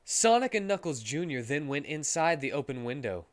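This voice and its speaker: A man's voice: on the high side, sing-song, normal speed, no echo. A man reading a story aloud in a mock-dramatic narrating style, as a streamer.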